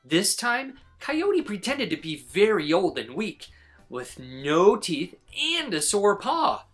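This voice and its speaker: Lady reading a kids book out loud, slow and really lively, soft music behind. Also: mid-range voice. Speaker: children's storyteller. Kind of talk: expressive read-aloud narration of a picture book.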